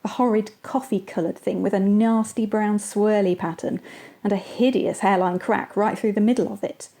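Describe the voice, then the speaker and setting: Fraught married woman played by an amateur actress, medium pitch, dramatic and bitter monologue delivery for radio drama. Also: warm tone, lightly acted. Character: fraught wife recounting smashed crockery and marital rows.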